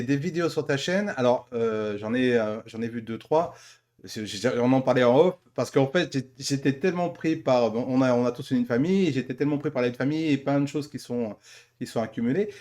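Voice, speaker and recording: A man's voice: mid-range voice, darkish tone. A man hosting a casual interview, hesitant and often correcting himself as he speaks. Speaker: host, interviewer.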